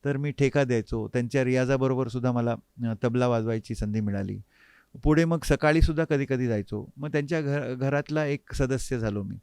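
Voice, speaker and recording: A man, reminiscing reflectively as an interview guest and often pausing mid-sentence to find his words. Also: mid-range voice, a little mellow, clear, resonant tone, no echo, clean studio sound. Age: 50s. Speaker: interview guest, harmonium player. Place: recording studio.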